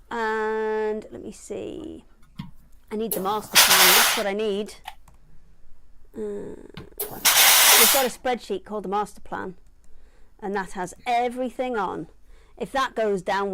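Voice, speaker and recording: A woman hosts a live online sewing show in a casual, giggly way. Her voice sits high, and she rambles through her chat with plenty of fillers. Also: rough recording. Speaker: host.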